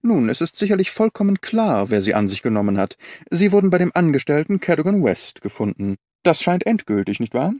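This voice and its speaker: Guy reading as a sharp detective thinking out loud, bouncy delivery, dry booth mic. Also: fairly high pitch, brisk, no echo. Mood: reasoning. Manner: lively, reasoning aloud. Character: keen, sharp-witted male detective.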